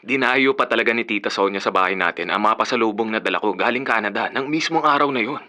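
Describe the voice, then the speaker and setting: A man's voice: fairly low pitch, quick pace, pushed and bright. A man playing an adult son who is protective of his father and resentful, in a Filipino radio drama. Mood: aggrieved.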